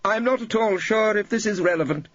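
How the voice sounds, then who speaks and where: A man's voice: sits high, a little fast, fairly bright tone, dry recording, dull audio, voice pushed up. A man voicing an elegant, indignant English prosecuting barrister with an affected manner in an audiobook.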